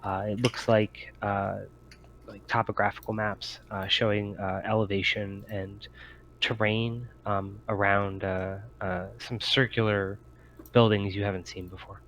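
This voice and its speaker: A man running a tabletop game as game master, improvising descriptions in a halting way with many pauses. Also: on the low side, level tone, fairly bright tone, a little hiss or hum, rough recording.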